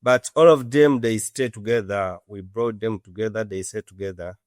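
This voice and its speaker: A Ugandan-accented man in his thirties speaks as an interview guest. His voice sits a little low, and he explains things earnestly and haltingly, with a dry, unechoed sound. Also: fairly even intonation, darkish tone, no room sound. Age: 30s. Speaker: interview guest, orphanage director. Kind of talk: conversational interview answers.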